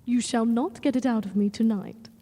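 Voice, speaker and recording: A woman playing a young, devoted and gently teasing gothic heroine in an audio drama, with composed, formal, old-fashioned phrasing. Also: on the high side, steady tone, normal speed, slightly rough. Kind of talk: audio drama acting and first-person narration. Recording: long hall-like reverb.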